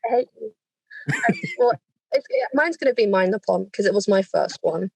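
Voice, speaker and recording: A woman's voice: medium pitch, very animated, moderate pace, creaky, darkish tone, breathy edge, no room sound, rough recording. A woman from England joking in mock exasperation on a video call.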